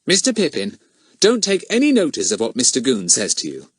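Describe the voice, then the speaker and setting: An earnest teenage schoolboy detective, as a male narrator plays him, pitched up high and lively. He is well-spoken, confident and a little cheeky, eager to persuade, in a children's storybook reading with a dry, close sound. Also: a little fast, breathy edge, no echo. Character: earnest, confident teenage boy sleuth, polite but cheeky.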